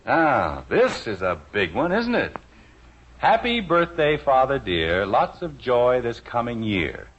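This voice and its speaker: A man's voice: mid-range voice, very animated, slow, a bit bright, exaggerated voice. A middle-aged American man playing a genial, wryly joking suburban father in a 1950s radio sitcom. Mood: pleased. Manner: wry, hesitant with frequent hemming. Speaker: lead actor in radio sitcom. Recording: muffled old radio recording, faint noise.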